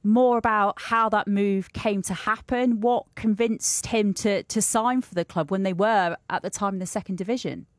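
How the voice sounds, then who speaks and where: A woman's voice: mid-range voice, steady tone, moderate pace, hardly any pauses, no room sound. A woman presenting a sports report fluently and putting questions to a guest. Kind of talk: broadcast sports report and interview.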